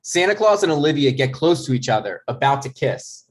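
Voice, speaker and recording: A man's voice: fairly high pitch, big pitch swings, a little fast. A man reading stage directions as scripted narration for a table read.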